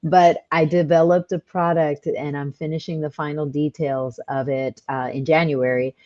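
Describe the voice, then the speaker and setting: A woman in her fifties, chatting as a guest in a relaxed interview. Her voice sits low, and she talks in a bright, upbeat way with plenty of laughter. The recording sounds a little dull and muffled. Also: normal speed. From California. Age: 50s. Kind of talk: casual interview.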